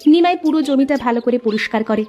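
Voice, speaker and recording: A woman's voice: high voice, lively intonation, quick pace, dark tone, soft background music. A woman reading scripted narration for a children's storybook cartoon.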